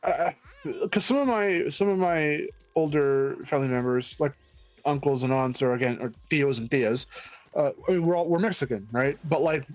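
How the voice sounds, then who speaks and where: A man's voice: fairly high pitch, moderate pace, a little mellow, no room sound, soft background music, poor audio. A man from the United States chatting on a stream, rambling and joking with frequent restarts. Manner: rambling, jokey, restarts often. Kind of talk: casual chat.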